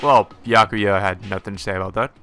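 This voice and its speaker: A man's voice: fairly low pitch, steady tone, a little fast. A man giving casual, sweary, offhand commentary while playing a visual novel. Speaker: let's play host. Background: faint game music.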